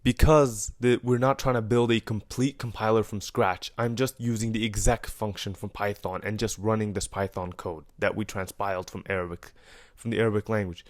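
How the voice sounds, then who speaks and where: A man's voice: lowish voice, fairly even intonation, moderate pace, no room sound, clean studio sound. A young man with an Egyptian accent, casually walking through a coding project he built, with fillers and self-corrections. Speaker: programmer explaining his project.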